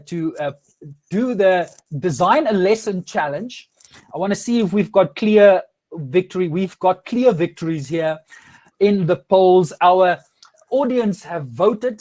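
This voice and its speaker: Afrikaans-accented male host in his thirties, high-pitched, lively, restarts often, video call sound. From South Africa. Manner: energetic, many restarts and fillers. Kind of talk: casual interview over video call. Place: home room with curtains.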